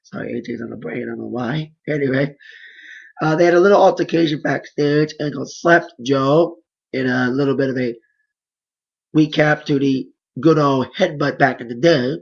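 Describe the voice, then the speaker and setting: A hoarse man giving a casual, rambling play-by-play recap of wrestling matches. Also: mid-range voice, moderate pace, dull audio. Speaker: wrestling reviewer.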